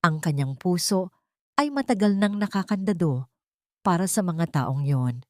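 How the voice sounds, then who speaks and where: A woman's voice: lowish voice, expressive, a little slow, a little mellow, no echo, clean studio sound. A woman reading a scripted dramatic story as its narrator.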